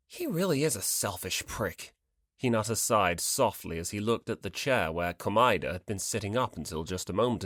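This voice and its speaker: Audiobook narrator reading a story, really animated up n down, dry close sound. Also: medium pitch, dry recording.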